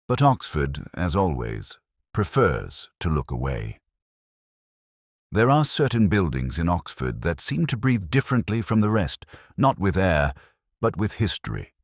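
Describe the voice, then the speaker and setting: A man narrating a literary first-person detective drama as a melancholy, world-weary investigator, in a measured, brooding, solemn way. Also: a bass voice, big pitch swings, no echo, clean studio sound.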